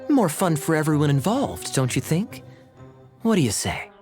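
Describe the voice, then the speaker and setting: A man voicing a suave, sly young knight in a video game, smooth-talking, wry and measured. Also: on the high side, sing-song, a little mellow, faint music behind. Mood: amused, wry.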